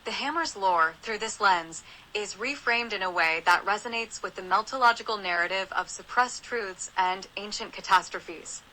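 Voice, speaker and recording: A woman's voice: mid-range voice, normal speed, hardly any pauses, pushed and bright, low-quality recording. A synthetic text-to-speech woman's voice reading scripted narration aloud.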